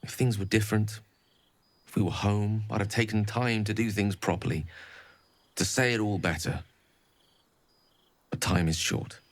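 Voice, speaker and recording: An actor playing an eloquent, melancholy wizard in a fantasy game, speaking in an intimate, poetic and measured way. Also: male voice, lowish voice, fairly even intonation, no echo, lightly acted. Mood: tender, yearning. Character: romantic eloquent wizard man, wistful and melancholy. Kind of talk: fantasy video game dialogue acting.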